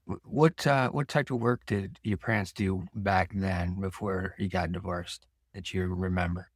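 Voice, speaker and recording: Deep-voiced American man hosting, dry sound. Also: steady tone, unhurried, breathy edge, dry recording. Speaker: podcast host. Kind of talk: interview hosting, conversational with read-out introduction.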